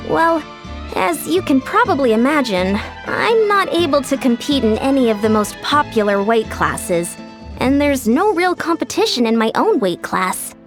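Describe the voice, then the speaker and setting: A woman voicing a friendly fitness coach who teases playfully and explains sensibly, in video game dialogue. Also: high-pitched. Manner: playful teasing, then earnest explaining. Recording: game soundtrack music clearly behind.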